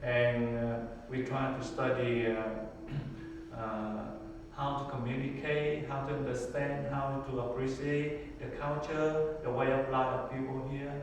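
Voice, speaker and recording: Monk, Vietnamese-accented man, slow and halting, heard from far off in an echoey hall. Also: mid-range voice, level tone. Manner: halting, many pauses and fillers, simple English. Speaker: Buddhist monk. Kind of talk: interview answers. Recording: echoey hall, far from the mic, faint noise.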